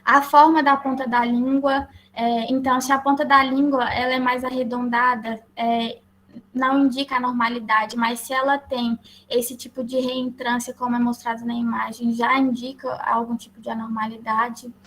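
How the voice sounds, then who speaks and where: A woman with a Brazilian accent presenting slides as a lecturer, explaining in a halting way. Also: a little high, flat delivery, a bit bright, low-quality recording. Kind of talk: slide presentation to health professionals. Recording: clear background noise.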